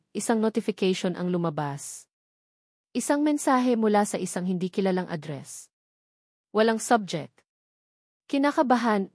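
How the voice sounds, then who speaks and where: A woman reading a scripted romance drama story in a solemn, measured, suspenseful way. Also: medium pitch, a little mellow, no room sound, clean studio sound.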